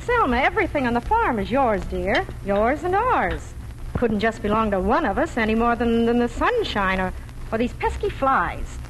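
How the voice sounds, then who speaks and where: A woman playing a practical farm mother in a 1940s radio drama, patient and matter-of-fact as she gently corrects. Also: a little high, very animated, normal speed. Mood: patient, firm. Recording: faintly noisy vintage radio recording.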